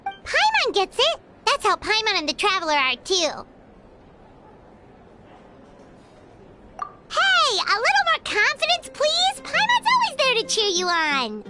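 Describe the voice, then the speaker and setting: A woman voicing a tiny, perky, childlike fairy companion in a video game, squeaky and encouraging. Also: wildly swooping pitch, moderate pace, full character voice. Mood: cheerleading. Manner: perky, speaks of herself in third person. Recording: music behind the voice. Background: music.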